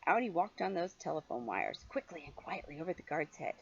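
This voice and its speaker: A woman's voice: fairly low pitch, expressive, fairly quick, gravelly, lo-fi sound, slight background noise. An older woman, a grandmother reading a children's story expressively, with chatty questions to her young listener.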